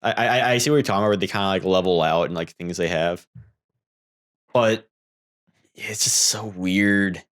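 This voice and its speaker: A man co-hosting a podcast, talking casually and haltingly, often repeating words as he makes his point. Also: low-pitched. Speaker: podcast co-host. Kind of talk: casual film discussion.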